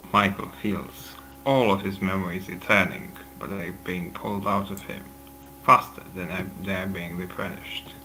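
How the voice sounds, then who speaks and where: A man's voice: low voice, a little slow, bright, forceful tone, a little hiss or hum, rough recording. A man reading scripted stage directions as the narrator.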